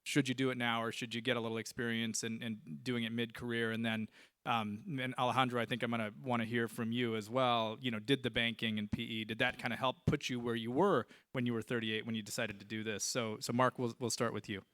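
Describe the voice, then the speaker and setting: A man's voice: medium pitch, little pitch movement, fast talker, fairly bright tone, smooth. A man moderating a conference panel in a conversational way, hedging often. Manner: conversational, hedging with "you know".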